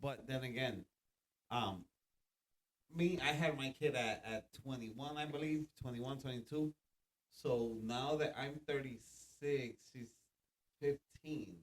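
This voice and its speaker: A man's voice: mid-range voice, slow pace, poor audio. A man in his thirties, a podcast guest, chatting casually, laughing often and swearing freely. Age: 30s. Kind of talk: casual chat.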